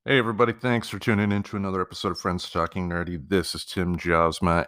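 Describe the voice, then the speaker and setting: Male podcast host, deep and crisp, casual and halting, recorded close. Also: sharp tone, no echo. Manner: halting, hesitant phrasing.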